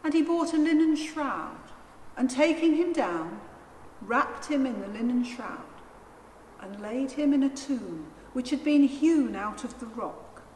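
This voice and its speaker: A woman narrating a Gospel in a solemn, measured and deliberate reading style. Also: high-pitched, animated, a little mellow. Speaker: scripture reader, narrator. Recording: echoey church hall, faint noise.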